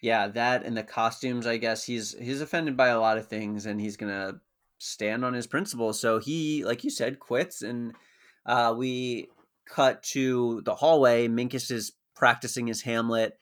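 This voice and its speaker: A man co-hosting a casual recap podcast, summarizing plot points in an even, matter-of-fact way. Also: medium pitch, measured pace, clean tone. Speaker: podcast co-host.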